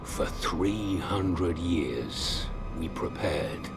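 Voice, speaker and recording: Abyss-deep, gravelly dark lord. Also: male voice, expressive, loud music behind the voice, heavily acted. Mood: cold menace. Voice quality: gravelly, breathy growl. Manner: slow, portentous, deliberate menace. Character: menacing, vengeful masked dark lord, cold and triumphant. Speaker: villain narrator. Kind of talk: dramatic cinematic trailer monologue. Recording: loud cinematic score over the voice.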